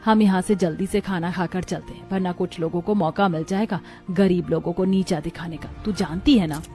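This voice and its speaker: A woman's voice: on the low side, steady tone, a little fast, warm tone, soft background music, lightly acted. A woman voicing a humble, anxious middle-aged mother from a poor family in a cartoon, subdued and worried. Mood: uneasy, worried.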